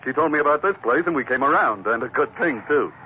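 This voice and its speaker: An actor playing a loyal, plain-spoken, wry first mate in a 1940s radio drama. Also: male voice, mid-range voice, expressive, fast, natural voice. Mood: steady, urgent. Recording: muffled, hissy 1940s radio recording.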